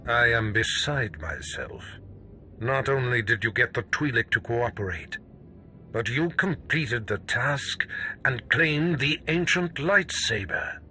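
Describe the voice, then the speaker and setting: An actor playing an imperious, gloating Sith master in a video game cutscene, pompous and grandiose. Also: male voice, medium pitch, lively intonation, takes it slowly, bright, forceful tone, soft background music, full character voice. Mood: pleased.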